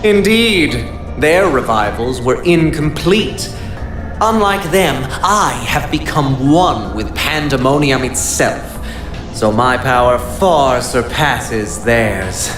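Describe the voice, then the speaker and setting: An actor playing a gleeful, devious villain in a video game, speaking in flowery mock-politeness with theatrical, drawn-out words. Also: male voice, medium pitch, expressive. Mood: grandiose menace. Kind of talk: anime-style video game villain acting. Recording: dramatic game music behind.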